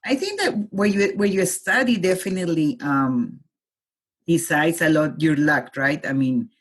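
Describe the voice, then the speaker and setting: A Colombian-born woman in her forties, a guest with a husky voice, talking reflectively in a casual interview and laughing easily. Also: low voice, lively intonation, measured pace.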